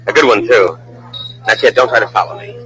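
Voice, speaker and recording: A man playing a tough, wry American Secret Service agent with a husky voice, in old-time radio style on a vintage recording. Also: fairly low pitch, fairly quick, close to an everyday voice, low-quality recording. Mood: wry, guarded.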